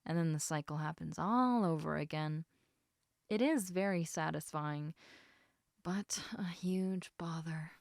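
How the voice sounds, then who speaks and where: A woman voicing an affectionate, teasing half-snake lamia in a casual, intimate audio roleplay, chatting informally with halting, trailing thoughts. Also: low voice, gravelly, darkish tone, dry recording, clean studio sound. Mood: mildly exasperated.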